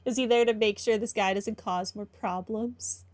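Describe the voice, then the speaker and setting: A woman's voice: medium pitch, steady tone, normal speed. A woman gamer giving casual playthrough commentary and reading some dialogue aloud. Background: faint game music.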